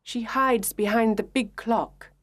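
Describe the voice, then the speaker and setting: A woman reading a children's story aloud in clear, measured narration for English learners. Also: a little high, fairly even intonation, a little hiss or hum.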